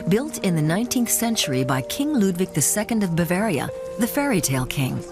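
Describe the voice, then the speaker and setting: A woman reading scripted narration for a travel report as a documentary narrator. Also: on the low side. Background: background music.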